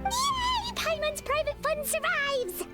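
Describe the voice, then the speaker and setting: A woman voicing a tiny, excitable childlike fairy companion in an English game dub, bubbly and exclaiming. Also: very high voice, takes it slowly, over music, heavily acted. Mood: gleeful. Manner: bubbly, exclaiming, speaks of herself in third person.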